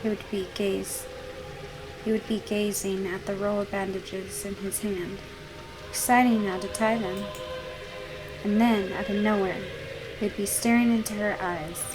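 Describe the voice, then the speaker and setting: A woman's voice: medium pitch, fairly even intonation, measured pace, long pauses, noisy background. A woman gaming host reading a visual novel aloud as narration.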